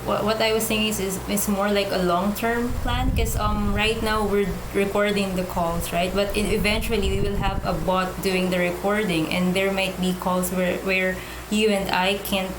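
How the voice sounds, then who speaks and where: Female, monotone, informal meeting talk, feeling out her point, clear background noise. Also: mid-range voice, normal speed, few pauses. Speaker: working group participant. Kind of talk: casual meeting discussion. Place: online group call. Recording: echoey room, noisy background.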